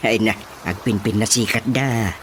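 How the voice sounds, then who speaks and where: A man's voice: fairly low pitch, fairly even intonation, measured pace, a little hiss or hum, lightly acted. A man with a Filipino accent playing a folksy, easily muddled village chairman in a comedic radio drama. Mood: amused, muddled.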